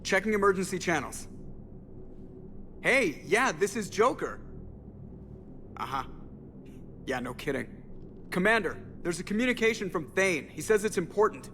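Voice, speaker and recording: A man's voice: sits high, very animated, moderate pace, pauses often, pushed and bright, long reverb tail, a little hiss or hum, full character voice. An actor playing an alert, informal male starship pilot in a sci-fi video game. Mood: uneasy, alert.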